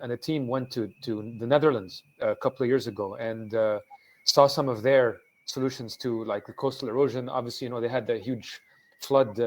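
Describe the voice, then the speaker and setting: A man moderating a panel discussion over a video call, putting questions to guests with loose, halting phrasing. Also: mid-range voice, low-quality recording.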